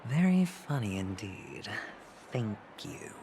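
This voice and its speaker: An actor playing a slick, flamboyant gambler who is weary underneath, with a smooth, ironic, drawn-out delivery. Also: male voice, lowish voice, very animated, noisy background. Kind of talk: theatrical game dialogue. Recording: game music behind.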